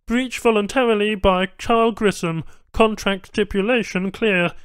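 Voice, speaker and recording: A man's voice: high-pitched, measured pace, fairly bright tone, clear, resonant tone, dry recording, studio quality, pitched above the performer's own voice. A man voicing a matter-of-fact alien technician in a science fiction audiobook, speaking emphatically in clipped, stilted broken phrases. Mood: flat, insistent.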